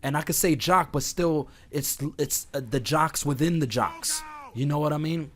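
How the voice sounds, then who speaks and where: A man with a slightly hoarse voice from being sick, talking casually and directly to his listeners as an online host giving rambling advice. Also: a little high, moderate pace, a bit bright. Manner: informal, conversational, addresses listeners directly. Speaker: host of an online talk series. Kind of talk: casual rambling advice chat over gameplay. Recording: clear background noise, game sounds. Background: video game playing in the background.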